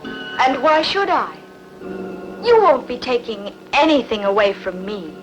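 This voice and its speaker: A woman's voice: fairly high pitch, sing-song, slow pace, low-quality recording. Proud, scheming queen, played by a woman, regal epic-drama delivery with sweeping intonation over a music bed. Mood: calm reproach. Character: proud, seductive, cunning ancient queen. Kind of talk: dubbed historical epic film acting.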